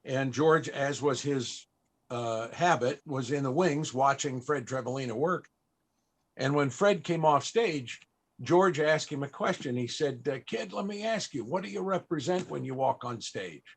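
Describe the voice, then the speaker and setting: A man hosting a panel, telling a personal story in a halting, reflective and emotional way. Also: mid-range voice, animated, breathy edge. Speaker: panel host and entertainer. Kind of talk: storytelling at a live interview panel.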